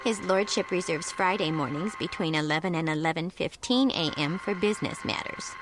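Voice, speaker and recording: A woman playing a prim, unhelpful receptionist in a video game, formal and deadpan. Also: fairly low pitch, a little fast, over music, lightly acted. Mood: prim, dismissive. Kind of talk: video game cutscene acting.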